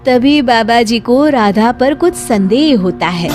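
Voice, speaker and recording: A woman's voice: fairly high pitch, animated, slow pace, darkish tone, soft background music. A woman narrating a scripted moral story for children.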